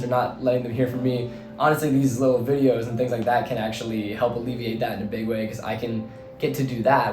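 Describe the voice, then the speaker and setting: A young man from New Jersey with a slightly hoarse voice rambles earnestly. He talks in an easy middle register, piling in "like" and "you know" as he thinks aloud. The room rings with echo and soft music plays faintly underneath. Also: mid-range voice. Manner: rambling, filler-heavy, earnest. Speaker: vlogger and teacher. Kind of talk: casual reflective monologue.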